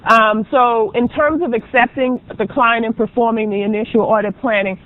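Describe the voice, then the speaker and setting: Loud chatty woman lecturing a class. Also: on the high side, steady tone. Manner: loud, chatty, brisk teacher with frequent ums. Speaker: auditing professor. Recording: dull lo-fi lecture recording.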